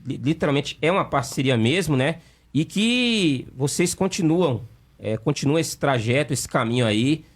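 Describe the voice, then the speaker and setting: Halting male radio host in studio. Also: a little high, lively intonation. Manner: halting, with many "é" fillers and restarts. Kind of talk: radio interview hosting.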